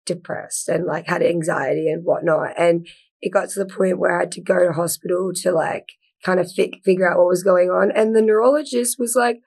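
A woman's voice: lowish voice, steady tone, a little fast, gravelly, darkish tone, husky. A young woman from Australia, an actor talking as a guest in a casual interview, chatty and full of "like". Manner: chatty, lots of "like", laughs easily.

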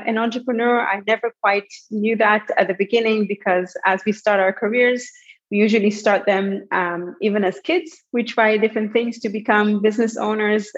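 A woman with an Israeli accent, answering interview questions casually, with fillers and restarts. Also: mid-range voice. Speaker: guest entrepreneur. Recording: dull lo-fi audio.

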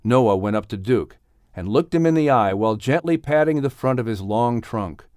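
A man's voice: mid-range voice, lively intonation, normal speed, a little mellow, smooth, dry recording, clean studio sound. A man narrating an audiobook story expressively, in a dramatic and earnest manner.

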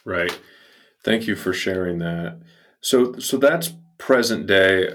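Deep-voiced male interviewer, attentive, leads the guest with questions. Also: takes it slowly, fairly bright tone, slight background noise. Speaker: interviewer, host. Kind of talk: interview conversation.

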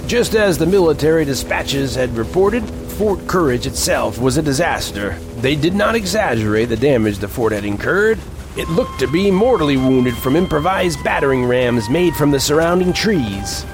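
A man playing an earnest reporter who narrates an eerie western expedition in a deliberate, descriptive way for an audio drama. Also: on the high side, big pitch swings. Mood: earnest, foreboding. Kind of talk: first-person scripted audio drama narration. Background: music.

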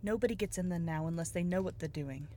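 An actress playing a determined, businesslike younger private detective in a noir audio drama, cool, persuasive and pointed. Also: female voice, on the low side, fast, dark tone, a little hiss or hum, natural voice. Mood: serious.

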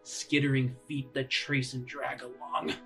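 A man improvising tabletop game narration as game master, casual and descriptive in a halting way. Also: medium pitch, flat delivery, a bit bright. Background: music.

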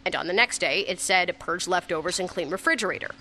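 A woman's voice: on the low side, fast talker, pushed and bright, soft background music. A young woman playing a flustered, grieving ex-girlfriend who stammers her way through a confessional memorial speech in an audio drama. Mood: exasperated, animated. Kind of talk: audio drama monologue, naturalistic.

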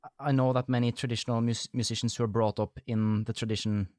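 A man hosts a conversational interview and works his way slowly toward each question. His talk is halting and full of fillers, and the recording sounds dry and close. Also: medium pitch, level tone, darkish tone, dry recording. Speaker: podcast host.

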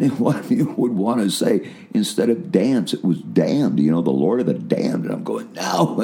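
A man's voice: very deep voice, creaky, darkish tone. A man giving an earnest spiritual testimony, repeating himself and checking in with "right?", with a big voice that climbs when stirred. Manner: earnest, repetitive, punctuates with "right?". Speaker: preacher.

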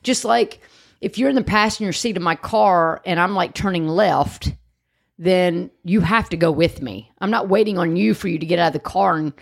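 A woman's voice: fairly low pitch, moderate pace, vocal fry. A woman, co-hosting a podcast and explaining dog training emphatically, often asking "right?". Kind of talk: casual chat.

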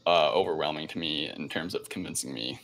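A man's voice: a bass voice, a little fast, vocal fry, fairly bright tone. A man hosting a podcast, recapping a film in casual, loose, informal conversation. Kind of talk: casual film review chat.

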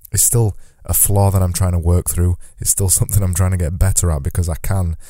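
A man hosting a solo podcast, talking earnestly and reflectively, lifting a voice he calls naturally monotone. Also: sits low, no echo, clean studio sound. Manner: earnest, reflective, says he lifts his normally monotone voice. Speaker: podcast host.

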